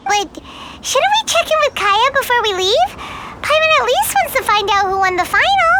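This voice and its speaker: A woman voicing a tiny, excitable, childlike female fairy companion in a video game, with a squeaky voice. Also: moderate pace, slight background noise, heavily acted. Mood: eager. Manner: exclaiming, refers to self in third person.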